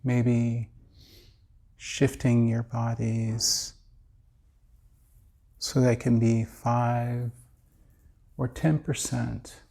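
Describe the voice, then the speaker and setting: Creaky-voiced man slowly guiding meditation. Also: medium pitch, flat delivery, warm tone. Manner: measured, soothing, with long pauses. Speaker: meditation teacher. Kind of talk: guided body scan meditation.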